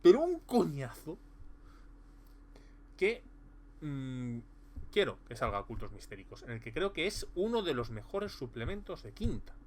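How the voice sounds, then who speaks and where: A man's voice: medium pitch, very animated, measured pace, pauses often. Rambling Spanish-speaking man with faint hum. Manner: rambling, many fillers and restarts. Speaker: tabletop game reviewer. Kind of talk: casual video review.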